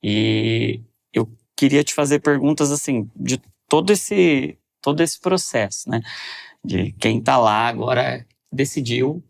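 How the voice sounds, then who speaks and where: A man hosts a faith-based podcast interview. His voice has a gravelly edge, and he talks in a thoughtful, searching way, often restarting his sentences as he works toward a question. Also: mid-range voice, lively intonation. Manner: reflective, speeds up and slows down, frequent restarts. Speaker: podcast host.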